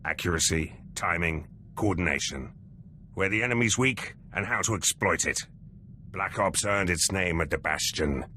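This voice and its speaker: An actor playing a gruff, loyal veteran Imperial soldier in a video game, with terse, confident military phrasing. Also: male voice, deep voice, moderate pace, pushed and bright, slight background noise. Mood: earnest, loyal.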